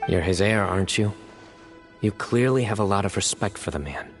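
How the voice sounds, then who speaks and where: An actor playing a stern, resolute warrior judge in a video game, speaking bluntly and deliberately. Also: male voice, fairly low pitch, soft background music, lightly acted. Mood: earnest, insistent.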